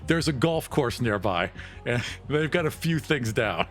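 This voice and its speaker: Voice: mid-range voice, animated, unhurried, faint music behind. Someone narrating an improvised tabletop roleplaying game in a casual, playful, chuckling way. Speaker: game master and player.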